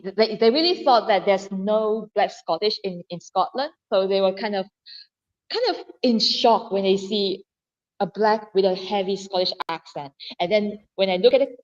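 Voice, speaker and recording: Lively Malaysian woman with muffled call audio. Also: medium pitch. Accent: Malaysian Chinese. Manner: animated, laughing, many restarts and fillers. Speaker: guest. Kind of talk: casual live-stream chat.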